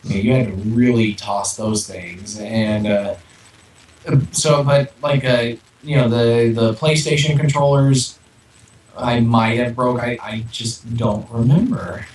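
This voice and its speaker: A man co-hosts a podcast in relaxed conversation, with hesitant, stop-and-start phrasing. His voice is medium in pitch and sounds echoey, with some noise in the background. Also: slow. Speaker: podcast co-host. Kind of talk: casual chat. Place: echoey room on online call. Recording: echoey, distant, noisy remote audio.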